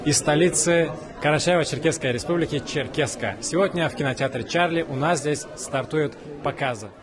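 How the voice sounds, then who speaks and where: A man's voice: fairly high pitch, brisk. A man hosting a vlog, cheerfully greeting viewers and interviewing people at a film screening. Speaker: vlog host reporting from a cartoon screening. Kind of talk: upbeat on-the-street reporting and interviews. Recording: crowd noise all around. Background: crowd chatter.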